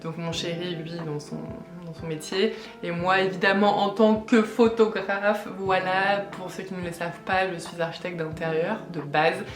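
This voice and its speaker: A woman's voice: on the low side, little pitch movement, normal speed, reverberant, faint music behind. Chatty French woman in her late twenties. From France. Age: 20s. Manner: chatty, hesitant with many fillers. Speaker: vlogger. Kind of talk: casual vlog chat.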